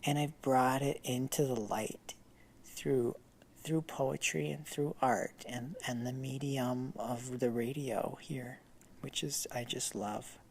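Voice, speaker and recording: A man hosting a comedy radio show, reading in a slow, deadpan, halting way. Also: mid-range voice, a little hiss or hum. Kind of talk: deadpan mock poetry reading.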